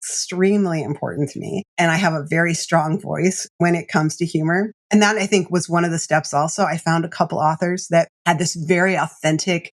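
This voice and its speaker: A woman executive coach telling stories as a podcast guest, animated and laughing often. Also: lowish voice, a little fast, few pauses.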